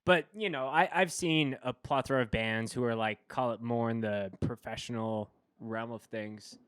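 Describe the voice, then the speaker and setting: A man hosts a casual podcast. His voice is smooth and resonant, sitting in an ordinary middle pitch, and he rambles through restarts and fillers. The sound is dry and close, with no room around it. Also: moderate pace, a bit bright, no echo. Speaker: podcast host. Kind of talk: casual chat.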